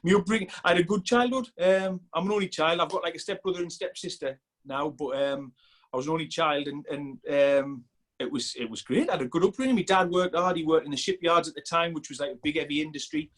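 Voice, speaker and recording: A man's voice: fairly high pitch, fast, a bit bright. A man in his forties with a Sunderland accent, chatting casually as a podcast guest, rambling with many restarts. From Sunderland, England. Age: 40s. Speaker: strength coach guest.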